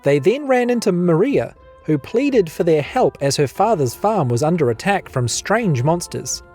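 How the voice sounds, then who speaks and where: A fairly high male voice with a New Zealand accent delivers scripted podcast announcements and recap narration. His pitch moves in lively swings over a music bed. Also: moderate pace.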